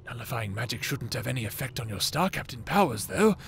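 Creaky-voiced, formal, needling man acted. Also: mid-range voice, sing-song, a little fast, a bit bright, slight background noise. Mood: measured, cautioning. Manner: formal, archaic phrasing, needling questions. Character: probing, taunting male figment of the listener's thoughts. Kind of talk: audio roleplay drama acting.

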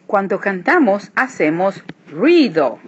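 A woman reading a children's picture book aloud, switching between hushed and emphatic delivery. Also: mid-range voice, sing-song, takes it slowly. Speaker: read-aloud narrator. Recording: dull lo-fi recording, clear background noise.

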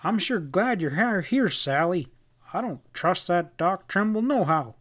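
A man's voice: high voice, expressive, a little slow, a bit bright, voice pushed up, full character voice. A man voicing an anxious, stubborn frontier husband in a storybook western, with rustic, hesitant, stammering talk. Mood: worried.

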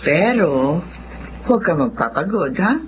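A woman's voice: fairly low pitch, big pitch swings, unhurried, background noise. An actress playing a caring, worried middle-aged mother in a Filipino radio drama. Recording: muffled radio recording, music behind.

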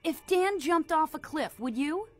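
A woman playing an exasperated, scolding mother in a comedy skit, nagging in a shrill voice. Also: animated, unhurried, over loud music.

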